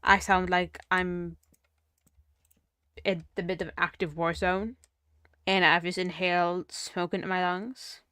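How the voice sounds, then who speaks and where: A young woman streamer with a croaky, sore voice, chatting casually and muttering to herself while she plays. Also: fairly low pitch, little pitch movement, unhurried, long pauses, pushed and bright, no room sound, clean studio sound. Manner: rambling, self-mocking, mutters to herself. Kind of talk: casual livestream chat while gaming.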